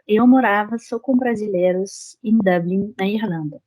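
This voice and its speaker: A woman from France with a French accent, a French teacher and interview guest, speaking Portuguese as a second language with a hesitant, halting delivery. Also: mid-range voice, darkish tone, rough recording. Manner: hesitant, many fillers and restarts in a second language. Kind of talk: casual interview chat.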